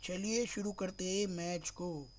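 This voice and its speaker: A man from Mumbai streaming a cricket video game, slightly hoarse from a sore throat, thinking aloud and calling his viewers "bro" in nearly every phrase. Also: sits high, steady tone, a little slow, a little mellow, slight background noise. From Mumbai, India. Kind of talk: live gameplay stream chat.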